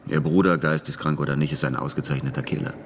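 An actor playing a cynical, flippant American war veteran in a German radio crime drama, speaking in a laconic, matter-of-fact way. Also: male voice, a bass voice, steady tone, fast, slight background noise. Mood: matter-of-fact, persuasive.

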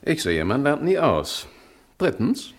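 An actor playing a smug male criminal in a 1960 radio crime drama, condescending, amused and deliberate. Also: fairly high pitch, big pitch swings, heavily acted. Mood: mocking. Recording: vintage 1960 radio recording.

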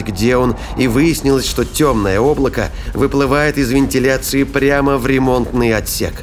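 A man narrating a science fiction audio drama in a scripted, dramatic storytelling style. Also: medium pitch. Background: prominent music bed.

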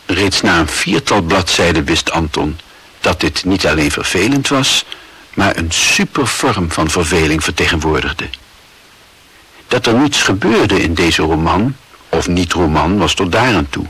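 An actor delivering scripted, wry literary narration in a radio play. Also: male voice, sits low, expressive, a little slow, pushed and bright, breathy edge, exaggerated voice, poor audio.

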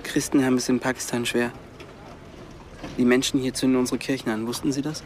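A man's voice: mid-range voice, little pitch movement, fairly quick, a little hiss or hum, natural voice. A man playing a polite, earnest Pakistani teenage boy in a radio drama.

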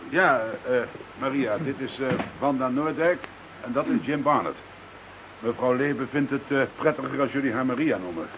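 A man's voice: medium pitch, normal speed, close to an everyday voice. An actor playing a polite, sceptical man in a radio play, reasoning things out hesitantly. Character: sceptical, polite, hesitant investigator named Joop. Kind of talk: Dutch radio play acting. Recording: dull, slightly hissy radio drama recording.

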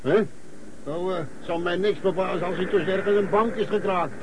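A man's voice: sits high, steady tone, brisk, a little hiss or hum, low-quality recording. An actor playing a baffled, indignant man in a radio play, muttering hesitantly in colloquial speech. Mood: indignant, puzzled. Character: baffled, indignant male car owner, Egon.

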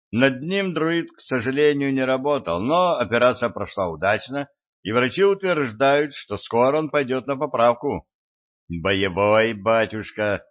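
A man's voice: medium pitch, a bit bright. Man reading an audiobook, dull lo-fi sound. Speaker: audiobook narrator. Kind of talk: scripted audiobook narration.

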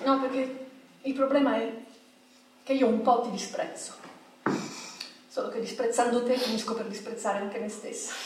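A woman's voice: a little high, steady tone, moderate pace, long pauses, natural voice. An actress in a radio drama playing a strident, moralizing, well-off woman, theatrical and emphatic, full of self-pity. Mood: anguished, disdainful. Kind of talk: stage-like radio drama acting. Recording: very echoey room, distant from the mic.

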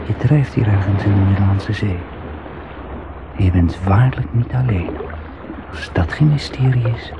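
A husband alone in the sea, musing wryly to soothe himself in a lowish voice. It is an old radio play, muffled, with clear noise and watery sounds behind him. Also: male voice, moderate pace, long pauses. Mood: uneasy musing. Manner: musing, wry, talking to himself. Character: brooding, self-soothing husband swimming out to sea. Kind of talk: radio drama monologue.

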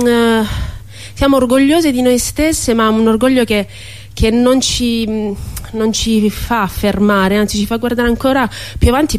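A woman speaking as a theatre guest in a spontaneous radio interview, earnest and hesitant, with reflective pauses. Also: mid-range voice, a little mellow.

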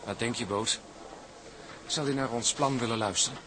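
A man's voice: mid-range voice, moderate pace, background noise, close to an everyday voice. An actor playing an impatient, grumbling seaman in a radio drama, blunt and fretful.

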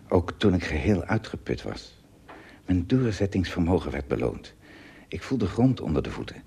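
Radio-drama castaway: earnest, fearful English adventurer, very low pitch with wide dramatic swings, halting theatrical monologue. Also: male voice, vocal fry, breathy edge, exaggerated voice. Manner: dramatic, halting, hushed to exclaiming delivery.

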